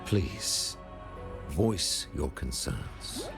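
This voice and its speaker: A man playing a stately older high priest in a fantasy video game, speaking in grave, formal, measured oratory. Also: wildly swooping pitch, music in the background, heavily acted. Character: solemn, stately high priest of a religious order. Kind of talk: theatrical fantasy game dialogue.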